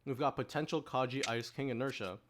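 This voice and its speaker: Mid-pitched man steering a chat. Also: steady tone, brisk, a little hiss or hum. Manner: organizing, keeps the group on track. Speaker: podcast host moderating a panel. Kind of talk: casual group discussion.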